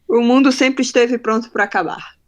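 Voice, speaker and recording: A woman's voice: a little high, moderate pace. A young adult woman from northern Brazil, with a faint northern Brazilian accent, speaking frankly as a podcast guest and acting out the dialogue in her stories. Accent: faint northern Brazilian Portuguese. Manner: frank, animated storyteller acting out quoted dialogue. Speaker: podcast guest, marketing professional. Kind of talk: casual interview conversation.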